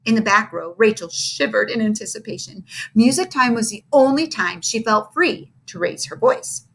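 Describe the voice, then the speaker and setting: A woman reading a children's chapter book aloud, bright and animated. Her pitch sits on the high side and moves in lively swings as she acts out every character. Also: normal speed. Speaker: reader.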